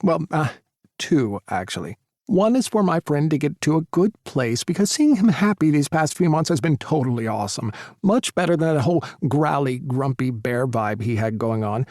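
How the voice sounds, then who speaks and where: Man putting on a flamboyant young vet, theatrical and sassy, way higher voice, warm. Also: no room sound, studio quality. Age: 20s. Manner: theatrical, camp, mock phone call.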